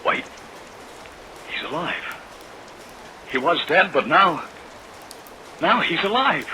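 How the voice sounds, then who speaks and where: A man's voice: on the high side, big pitch swings, slow pace, sharp tone, heavily acted. An actor playing a polite, cautious younger male researcher in a 1950s radio drama. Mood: astonished. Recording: noisy vintage radio recording, rain sounds behind.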